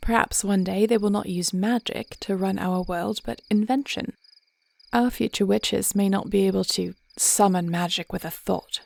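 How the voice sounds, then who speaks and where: A regal, thoughtful dragon queen acted by a woman speaks privately to her beloved in a fantasy roleplay. Her voice sits at a middling height with noticeable creak. The phrasing is formal, reflective and tender. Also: medium pitch, darkish tone. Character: thoughtful, devoted dragon queen wife planning the future. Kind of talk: intimate fantasy audio roleplay acting.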